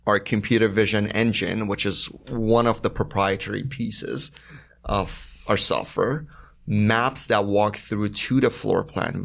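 A man's voice: lowish voice, creaky, a bit bright. A man from Iran, a startup founder, explaining his business methodically as a podcast guest. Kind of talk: interview conversation.

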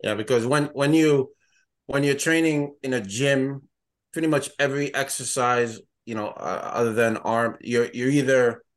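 A man, a fitness trainer, explaining his training program in casual podcast chat, often restarting and repeating words. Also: mid-range voice. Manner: halting, repeats words, easygoing. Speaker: fitness trainer and product creator.

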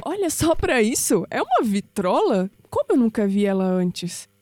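An actress playing a curious, headstrong young granddaughter in an audio drama, expressive and with a Brazilian accent. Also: female voice, fairly high pitch, moderate pace. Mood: curious, musing. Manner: expressive, thinking aloud.

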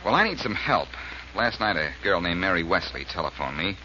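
A determined young detective in an old radio drama. He talks quickly in a lowish voice with lively swings of pitch. The sound is muffled and old. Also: background noise. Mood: earnest, puzzled. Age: young adult. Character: brisk, determined young amateur detective hero. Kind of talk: 1940s radio acting.

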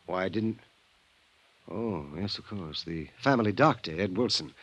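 A man's voice: deep voice, lively intonation, moderate pace, breathy edge, dry recording, lo-fi sound. An actor playing a troubled, wistful insurance man in a 1950s radio drama, earnest, hesitant and circling his point. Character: rambling, troubled male insurance man, wistful old family friend. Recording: vintage 1950s radio recording.

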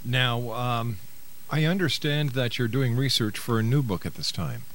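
A man's voice: medium pitch, moderate pace, a bit bright. A man hosting a radio talk show, interviewing and announcing to listeners. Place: radio studio.

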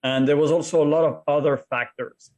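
A middle-aged man with a French accent, a podcast guest telling his own story in a halting way that often repeats words. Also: on the high side, steady tone, a little mellow. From France. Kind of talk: interview conversation.

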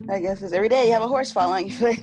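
A woman hosting a podcast, relaxed and unhurried, easily amused. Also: mid-range voice. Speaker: podcast host. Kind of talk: casual podcast chat.

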